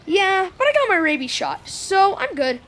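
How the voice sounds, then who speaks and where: A woman plays an excitable, talkative preteen boy in a kids' audio drama. The voice is extremely high and swings up and down a lot, with breezy, informal delivery. Faint noise sits behind it. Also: moderate pace, heavily acted. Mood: chatty. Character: outgoing, chatty, eager preteen boy at summer camp. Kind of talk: audio drama acting for children.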